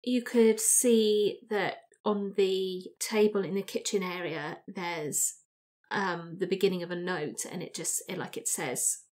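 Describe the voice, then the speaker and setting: A woman in a tabletop roleplaying game, casually describing a scene in a halting way as she pieces details together. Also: lowish voice, fairly even intonation, a little mellow.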